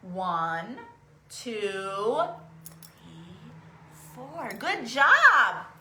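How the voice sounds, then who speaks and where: A woman's voice: a little high, very animated, extremely slow, bright, forceful tone, slight background noise. A woman leading an interactive children's storytime, coaxing small children along with questions and sing-song encouragement. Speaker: children's storytime host teaching songs and crafts. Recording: echoey room.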